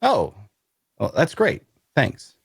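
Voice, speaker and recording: An actor playing an awkward, bookish loner adventurer who narrates an audio drama in a wry way. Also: male voice, a little high, wildly swooping pitch, slow, creaky, husky, dry recording, studio quality, heavily acted. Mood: awkward, polite. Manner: wry, hesitant in conversation. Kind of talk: first-person narration and dialogue in audio drama.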